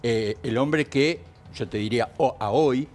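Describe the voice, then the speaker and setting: An Argentine man takes part in a political panel on television. His voice has an average male pitch, and he speaks as one of the panelists. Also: a little slow, a little mellow. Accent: Argentine Spanish.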